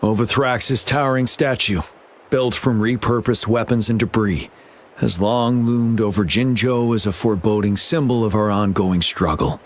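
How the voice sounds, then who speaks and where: A man's voice: fairly low pitch, normal speed, natural voice. A man playing a stern, resolute military general in a game, speaking in a grave, formal, commanding manner. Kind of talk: scripted game dialogue. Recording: background music.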